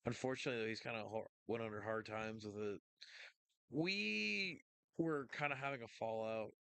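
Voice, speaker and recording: A man co-hosting a live stream in relaxed, slangy chat. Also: lowish voice, moderate pace, gravelly, clean tone, no room sound. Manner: laid-back, slangy, says bro and man. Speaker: co-host.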